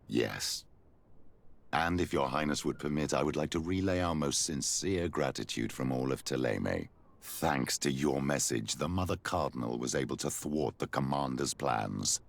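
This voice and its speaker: An old man of the church in a game cutscene. His voice is extremely low and full of gravel, and he speaks in solemn, fatherly, formal phrases. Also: expressive, normal speed, heavily acted. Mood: solemn, devout. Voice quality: very deep, gravelly. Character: solemn old clergyman, fatherly, devout, scheming. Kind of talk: video game cutscene acting.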